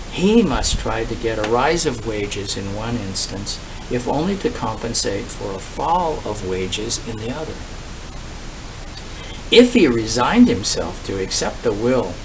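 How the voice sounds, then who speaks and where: A man reading a formal speech aloud in an oratorical style, with deliberate, emphatic phrasing and pauses. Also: mid-range voice. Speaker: reader of a historical political speech. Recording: distant mic, clear steady background hiss.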